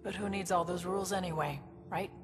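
An actress playing a laid-back, wry young alien crewmate in a video game, speaking casually and reflectively. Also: female voice, lowish voice, fairly even intonation, fairly quick, natural voice. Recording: long reverb, faint music behind.

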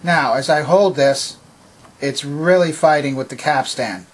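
A man with a somewhat high, husky voice gives an instructional demonstration. He explains each part methodically, one step after another, as a presenter showing how something works. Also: a little slow. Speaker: presenter demonstrating vintage tape recorder. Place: indoor home workbench.

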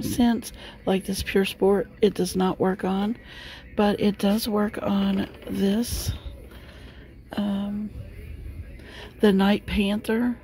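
A woman's voice: medium pitch, vocal fry, warm tone. A middle-aged woman chatting casually as she films a walk through a large store, talking loosely with many fillers. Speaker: shopping vlogger. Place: inside a large discount store. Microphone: handheld phone camera.

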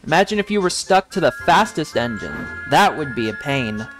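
A man's voice: a little high, very animated, moderate pace, music in the background, poor audio. A man voicing a cheeky, carefree young cartoon tank engine with breezy, whiny protests. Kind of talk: fan-made children's train story.

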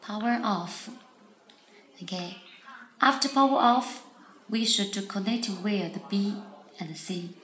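A woman with a non-native English accent giving step-by-step product instructions in halting, simple phrases, often repeating herself. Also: mid-range voice, a bit bright. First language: not English. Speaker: product demonstrator. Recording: echoey room with background noise.

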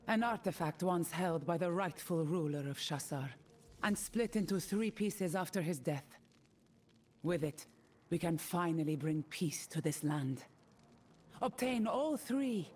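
A woman's voice: on the low side, darkish tone. An actress playing a cold, proud, commanding female mercenary leader in a video game, stern and imperious. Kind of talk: video game character dialogue.

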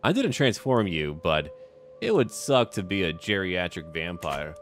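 A man's voice: deep voice, very animated, measured pace, slight background noise. A man giving roleplay commentary on a strategy game, musing theatrically in character like a schemer. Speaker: gamer narrating a strategy game.

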